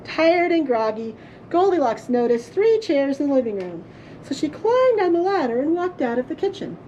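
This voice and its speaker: A woman storyteller reading a children's story aloud in a playful, theatrical way with drawn-out emphasis. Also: sits high, big pitch swings, dark tone, highs cut off, a little hiss or hum. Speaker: librarian storyteller. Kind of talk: expressive children's storybook reading for a puppet show.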